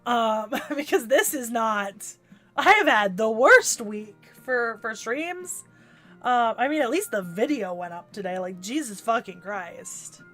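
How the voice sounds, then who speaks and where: A woman on a game livestream, stuffy and congested from a cold, talks in a slightly higher voice than most. She rambles casually, restarting sentences and swearing now and then, with faint game music underneath. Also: animated, a little slow, a bit bright. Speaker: streamer playing a video game. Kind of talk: casual livestream chat while gaming.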